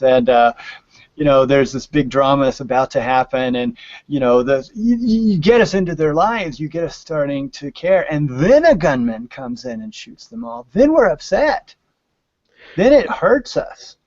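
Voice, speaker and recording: A man's voice: medium pitch, a little mellow, no echo, lo-fi sound. A man speaking as a podcast guest, explaining writing craft conversationally with hypothetical examples and frequent check-ins.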